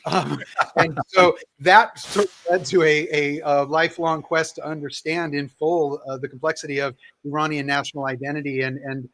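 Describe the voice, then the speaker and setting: A man's voice: a little high, level tone, moderate pace, a bit bright, a little hiss or hum, poor audio. A man in his fifties who grew up in Southern California, a guest historian answering questions with lots of fillers. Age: 50s. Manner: hesitant, with many fillers. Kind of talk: conversational interview answers.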